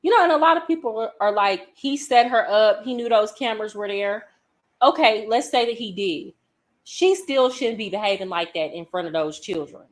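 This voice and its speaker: A woman's voice: medium pitch, normal speed. A woman from the United States giving casual reaction commentary in a chatty, emphatic way with conversational slang. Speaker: reaction vlogger and travel coach. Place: home in Colombia.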